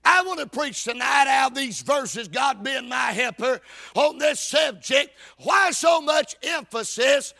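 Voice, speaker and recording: A male preacher with a very high, gravelly voice delivers a fervent evangelical sermon. He shouts his phrases in short, emphatic, declamatory bursts. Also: lively intonation, a little slow. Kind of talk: fervent evangelical sermon with scripture reading and prayer. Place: church service.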